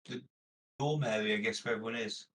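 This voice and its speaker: A man chats in character during a tabletop roleplaying game. His voice is fairly low, and his way of talking is plain and informal. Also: brisk, fairly bright tone, slight background noise. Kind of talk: casual in-character roleplay chat.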